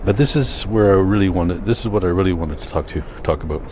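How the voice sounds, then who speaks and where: An older man with a Canadian accent, chatting casually in a rambling vlog, thinking aloud in a halting, self-correcting way. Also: deep voice, a little mellow, a little hiss or hum. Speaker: vlogger.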